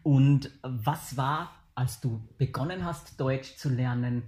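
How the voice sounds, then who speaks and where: Interviewer: man, calm and encouraging, warm darker timbre. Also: medium pitch, slow pace. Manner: patient, encouraging with frequent mhm. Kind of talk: friendly interview questions.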